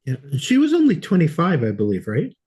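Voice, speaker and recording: A man's voice: fairly high pitch, wildly swooping pitch, fast, a little mellow, slightly rough. A man hosting a podcast interview in a casual, conversational way.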